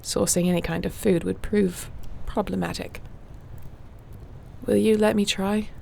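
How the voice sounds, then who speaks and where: A woman playing a gentle, wry warrior shifter with healing magic in an intimate fantasy audio roleplay, speaking softly and soothingly without hurry. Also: medium pitch, fairly even intonation, a little slow, gravelly, slight background noise. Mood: tender, concerned.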